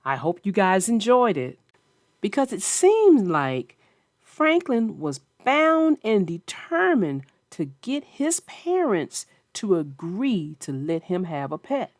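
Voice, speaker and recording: A woman's voice: mid-range voice, huge pitch swings, slow pace, no echo, studio quality. A woman hosting a children's read-aloud, narrating a picture book and chatting about it in simple, explanatory terms. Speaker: children's story narrator and host.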